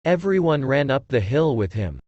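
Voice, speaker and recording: A man's voice: medium pitch, very animated, normal speed, a little mellow, dry recording, lo-fi sound. A man narrating a scripted story for children.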